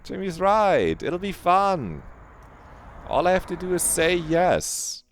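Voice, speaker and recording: A man putting on a high, jittery teenage girl. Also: very animated, slow pace, a little hiss or hum, exaggerated voice. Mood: nervous. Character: anxious, flustered teenage girl narrator.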